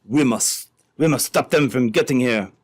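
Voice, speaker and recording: A ruthless French-speaking schemer from an old-time radio adventure, acted by a man. His pitch sits in the middle. He phrases things in a stilted, deliberate way as he plots coldly. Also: breathy edge, close to an everyday voice. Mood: urgent, commanding. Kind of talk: re-created old-time radio drama acting.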